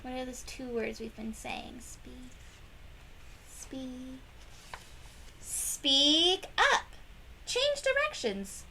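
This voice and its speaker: High-voiced woman reading slowly to children. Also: gravelly, pushed and bright, a little hiss or hum, poor audio. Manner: prompts young listeners with questions, emphatic swooping delivery. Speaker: children's read-aloud host. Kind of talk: expressive picture-book read-aloud for young children.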